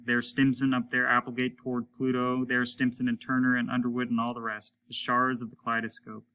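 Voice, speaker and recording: A man reading a short story aloud as dramatic narration, measured and solemn. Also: medium pitch, bright, forceful tone, low-quality recording. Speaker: audiobook reader, teacher.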